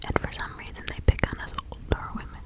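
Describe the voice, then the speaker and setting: A woman voicing a kindly, chatty older village witch in an ASMR roleplay, speaking in a breathy, halting, confiding whisper. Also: natural voice. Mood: welcoming, sympathetic. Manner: slow, halting, soothing, confiding.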